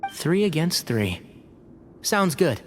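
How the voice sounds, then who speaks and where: A man's voice: on the high side, very animated, slow, soft background music. An actor playing an earnest, confident young male ally in a video game, with a direct manner. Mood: earnest, determined. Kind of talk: video game character acting.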